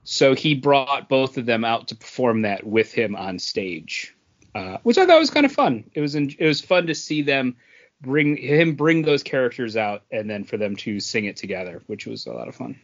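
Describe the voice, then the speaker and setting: A man co-hosting a podcast, chatting casually about entertainment news in a halting, hesitant way. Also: medium pitch, expressive, highs cut off. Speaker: podcast co-host. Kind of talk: casual chat about movie and comics news.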